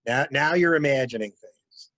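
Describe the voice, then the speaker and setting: A man's voice: mid-range voice, moderate pace, fairly bright tone, dry recording, natural voice. A man playing a shrewd, blunt stranger who questions people like a detective in a dramatic mystery reading. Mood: agitated. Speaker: amateur reader, library staff.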